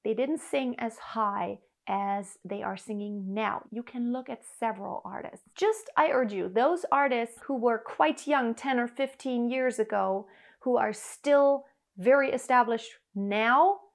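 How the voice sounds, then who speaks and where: A woman's voice: on the high side, measured pace, vocal fry. A woman in her thirties from Germany, a vocal coach giving an earnest, encouraging singing lesson to camera in a living room. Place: living room in front of an upright piano.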